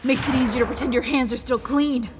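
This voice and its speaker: Lady doing nasty taunting villain girl for a comic dub, kinda high, scornful n aggressive. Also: steady tone, fast talker, over music, natural voice. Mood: contemptuous. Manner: scornful, biting. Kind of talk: fan comic dub voice acting.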